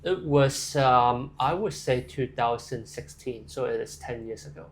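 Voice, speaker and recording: A man's voice: medium pitch, a little slow. A young man from Hong Kong, answering interview questions casually and thoughtfully, with frequent fillers and restarts. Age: young adult. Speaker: interview guest.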